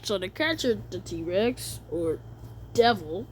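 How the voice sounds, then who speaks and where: A woman's voice: medium pitch, sing-song, slow pace, heavy background noise. A woman giving casual, slangy and incredulous reaction commentary on a video.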